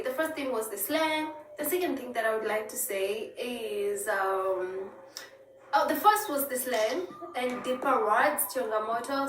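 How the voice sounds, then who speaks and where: A woman's voice: fairly high pitch, fairly bright tone. A woman from Kenya, chatting to camera and acting out little exchanges in quoted voices. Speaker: YouTuber. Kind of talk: explaining to camera. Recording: echoey, distant, noisy clip played back.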